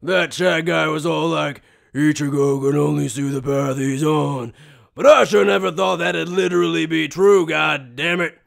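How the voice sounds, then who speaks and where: Anime dub: whiny, rough-hewn drunken brawler, high voice full of heavy rasping fry, loud and slangy complaints. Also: male voice, moderate pace. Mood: drunken griping. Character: drunken, whiny, hot-headed younger brother, rough fighter. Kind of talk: anime fan dub acting.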